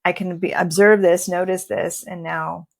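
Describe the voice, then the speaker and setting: A woman's voice: on the low side, steady tone, normal speed, gravelly. A woman co-hosting a podcast, talking in a reflective, encouraging and reassuring way. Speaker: podcast co-host. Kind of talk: supportive, reflective conversation.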